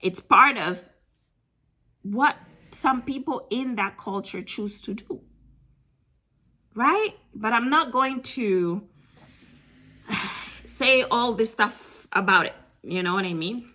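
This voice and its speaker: A woman with a Ghanaian accent gives frank advice to her viewers in a casual talk to camera. Her voice sits a little high, with a bright edge. She is emphatic and blunt, hammering points home by repeating them. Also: slow pace, long pauses. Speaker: channel host giving travel advice.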